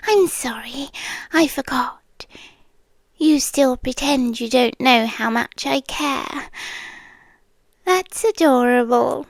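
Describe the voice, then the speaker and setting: A woman with a British accent voicing a possessive, doting vampire girlfriend in intimate ASMR roleplay, breathy and often whispering close to the microphone. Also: sits high, a bit bright, dry recording, full character voice. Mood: teasing, tender. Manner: slow, lingering, teasing menace. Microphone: close microphone, dry.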